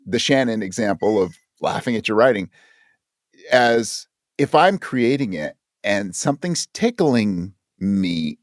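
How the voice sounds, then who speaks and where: Animated, candid man in dry close sound. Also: mid-range voice, no echo. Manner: candid, self-questioning, quotes his inner thoughts. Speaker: interview guest, audio drama creator. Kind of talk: casual conversation.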